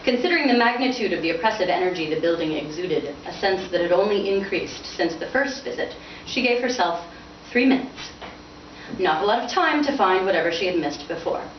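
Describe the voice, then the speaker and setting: A woman's voice: fairly low pitch, animated, fairly quick, lo-fi sound. A woman author speaking at a bookstore reading, in the style of an informal book event. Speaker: author reading from own novel. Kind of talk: informal book talk and dramatic prose reading. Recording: roomy, distant, noisy bandlimited event recording.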